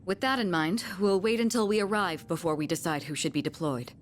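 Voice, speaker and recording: A woman's voice: lowish voice, fairly quick, faint music behind, lightly acted. An actress voicing a composed young female team leader in a video game, formal and authoritative. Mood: in command.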